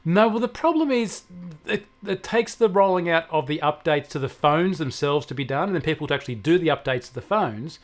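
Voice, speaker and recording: Higher-voiced Australian man explaining technology. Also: lively intonation, a little fast. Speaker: technology commentator on a regular show. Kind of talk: conversational explaining of technology news.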